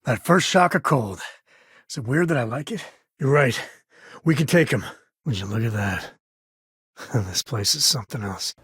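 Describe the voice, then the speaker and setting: A man voicing a rugged, good-hearted space explorer and father in a video game, with a husky rasp and a folksy, earnest way of talking. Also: mid-range voice, animated, normal speed, pauses often, fairly bright tone, no room sound. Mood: wry, impressed. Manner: folksy, colloquial, earnest delivery.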